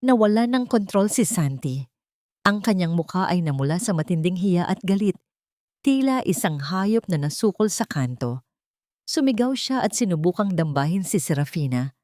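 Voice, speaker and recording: A woman narrates a scripted audio drama. Her voice sits on the low side, and she tells the story solemnly and deliberately. The sound is very dry, with no room around it. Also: very animated, mellow, no echo.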